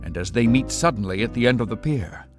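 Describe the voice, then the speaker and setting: Male announcer, vintage radio drama narration, theatrical, medium pitch, music underneath. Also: animated, quick pace. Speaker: host and narrator. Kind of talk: old-time radio announcing and scripted narration.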